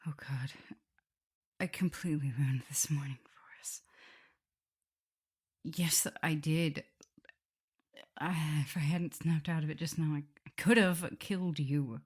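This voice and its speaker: A woman playing a tender, guilt-ridden vampire mistress in an ASMR roleplay, speaking softly and haltingly, often in whispers. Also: sits low, steady tone. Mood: remorseful, hushed. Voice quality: soft, breathy, often whispering. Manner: halting, hesitant delivery. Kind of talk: ASMR roleplay acting, intimate address to listener.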